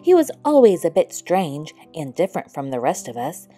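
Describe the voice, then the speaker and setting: A woman's voice: low-pitched, very animated, normal speed, dark tone, faint music behind. A woman voicing small forest animals in a children's storybook, earnest and plain-spoken. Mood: suspicious. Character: accusing, later apologetic small forest animals. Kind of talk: storybook character voice.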